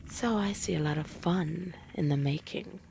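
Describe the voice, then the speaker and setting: A woman playing a seductive, menacing female demon in a dark roleplay, speaking in a breathy, creaky whisper with a taunting, possessive manner. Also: on the low side, slight background noise. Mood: sly, gloating. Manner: slow, drawn-out, taunting, possessive. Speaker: roleplay audio performer.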